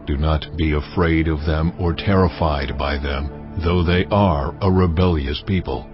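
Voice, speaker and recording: Stern, commanding God for scripture drama: deep and solemn, slightly muffled recording with music behind. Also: male voice, fairly even intonation. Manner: solemn, weighty, deliberate delivery.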